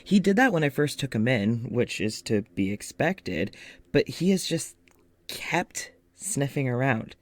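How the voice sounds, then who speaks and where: An actor playing an anxious, self-doubting male doctor in an audio drama, rambling haltingly and self-deprecatingly through a confessional monologue. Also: mid-range voice, big pitch swings, no echo. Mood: uneasy, reflective.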